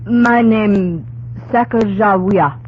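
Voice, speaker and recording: Tinny hissy old radio recording, actress doing young guide woman, calm, slow broken english. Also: mid-range voice, close to an everyday voice. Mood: reserved. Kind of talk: old-time radio drama acting.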